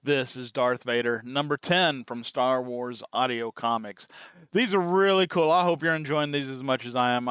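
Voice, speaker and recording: A man's voice: a little high, fairly bright tone, smooth, dry recording, clean studio sound. A man casually hosting a reaction show, chatty and full of enthusiasm. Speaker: host of a fan reaction channel.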